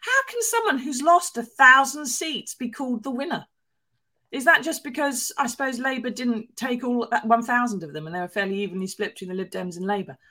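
A woman's voice: a little high, fairly quick, bright, forceful tone. A woman co-hosting a news podcast, telling stories in a chatty, wry way. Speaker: podcast co-host, newspaper columnist. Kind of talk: casual news chat.

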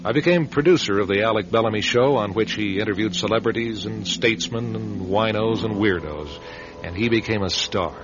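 Male actor as reflective American narrator, wry and wistful, lowish voice, dull radio sound over music. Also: close to an everyday voice. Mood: wry, reminiscing. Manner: reflective, halting, confiding. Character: wistful, wry man narrating his charismatic friend's rise. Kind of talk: radio drama first-person narration.

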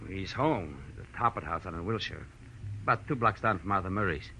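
An actor playing an awed, hopeful theater underling, halting and gossipy, in an old-time radio drama. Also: male voice, low-pitched, animated, pushed and bright, heavily acted. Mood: curious, hesitant. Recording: faintly noisy old radio recording.

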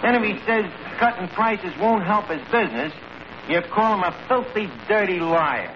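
An actor with a New York accent playing a brash, scheming tavern manager in a radio comedy, wisecracking and mangling big words with mock pomp. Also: male voice, sits high, animated, normal speed. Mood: self-important, scheming. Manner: wisecracking, mock-pompous explanations full of malapropisms. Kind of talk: 1940s radio comedy acting. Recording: noisy, muffled old radio recording.

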